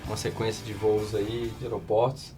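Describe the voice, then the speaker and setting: A man in his thirties from Minas Gerais with a Mineiro accent, a hoarse startup founder telling stories as a podcast guest. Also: on the low side, normal speed, over music. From Minas Gerais, Brazil. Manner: chatty, animated storyteller, many restarts. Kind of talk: casual interview storytelling.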